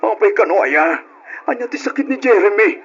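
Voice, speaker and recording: A man's voice: high voice, lively intonation, fairly quick, fairly bright tone, slight background noise. A man playing an alarmed, devoted father and husband, urgent and agitated in the style of an old Ilocano radio drama. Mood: anguished. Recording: dull, lo-fi old radio recording.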